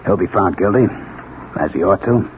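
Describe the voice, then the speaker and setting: An actor playing an assured, plain-spoken, paternal police lieutenant in a 1970s radio drama. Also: male voice, sits low, normal speed, natural voice. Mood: gentle, reassuring. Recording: dull, noisy old radio recording.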